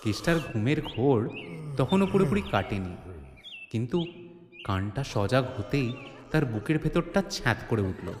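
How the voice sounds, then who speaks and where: A man's voice: mid-range voice, sing-song, moderate pace, long pauses, a little mellow, slight background noise. A man narrating an audio drama as a suspenseful, theatrical storyteller. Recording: echoey, long reverb, music behind. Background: music.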